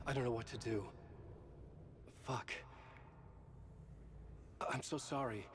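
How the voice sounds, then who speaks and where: An actor playing a tormented, guilt-ridden horror writer in a video game cutscene, brooding and urgent. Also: male voice, mid-range voice, fairly even intonation, slow, a bit bright, slight background noise. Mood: desperate, frustrated.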